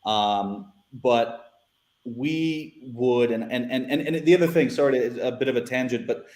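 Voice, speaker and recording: Man, American accent, rambling repeats, roomy echo, mid pitch. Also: unhurried, darkish tone. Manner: rambling, repeats words, many restarts. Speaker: guest, former newspaper editor. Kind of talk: casual panel discussion.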